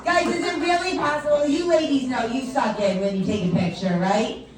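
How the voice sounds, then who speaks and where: A woman's voice: sits high, moderate pace, far from the mic. A female stand-up comedian from the tri-state area with a voice that sounds older than she is, teasing the crowd in a chatty, self-mocking way. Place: comedy club or venue. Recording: echoey club, mic from afar, audience noise. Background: audience laughing and chatting.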